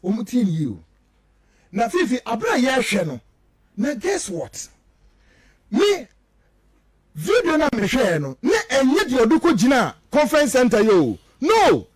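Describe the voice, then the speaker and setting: High-pitched, animated Ghanaian man, emphatic. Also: unhurried, long pauses, no room sound. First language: Twi. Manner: emphatic, accusatory, declamatory. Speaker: radio commentator. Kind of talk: political talk radio commentary, mixing local language and English.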